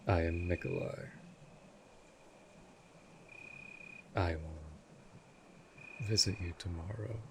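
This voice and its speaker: A man playing an ancient, aloof vampire lord in an audio roleplay, speaking formally and imperiously with cold menace and a breathy, husky delivery. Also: deep voice, slow pace, noisy background. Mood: cold, weary. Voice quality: husky, breathy, dropping near whisper. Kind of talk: scripted audio roleplay drama.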